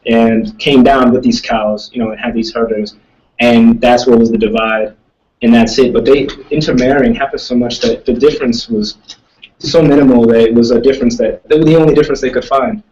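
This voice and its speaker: A man's voice: medium pitch, steady tone, brisk, darkish tone, recorded from a distance, dull audio. A young man, a student, answering audience questions in casual talk, thoughtful and rambling, with many restarts. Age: young adult. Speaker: student speaker.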